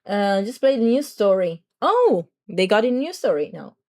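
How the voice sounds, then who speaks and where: A young adult woman streamer with a slightly raspy throat, chatting to viewers in a rambling, talkative way. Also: medium pitch, sing-song, normal speed, darkish tone. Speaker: VTuber streamer. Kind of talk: casual livestream chat.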